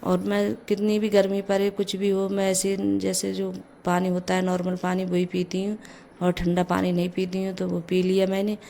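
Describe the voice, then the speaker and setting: A middle-aged mother with a hoarse voice from a cold, chatting through a casual home vlog as she cooks breakfast and goes on with her housework, often saying "jaise" between her words. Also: mid-range voice, monotone, normal speed, mellow, slight background noise. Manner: chatty, leans on the filler "jaise". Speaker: vlogger, mother. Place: home kitchen.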